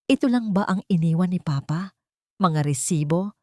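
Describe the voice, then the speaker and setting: A woman's voice: fairly low pitch, lively intonation, moderate pace, a little mellow, no echo, studio quality, lightly acted. A woman voicing an anguished, defiant grown child in an audio story, emotional and exclaiming. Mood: dismayed. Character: anguished, defiant adult child, narrator of the tale.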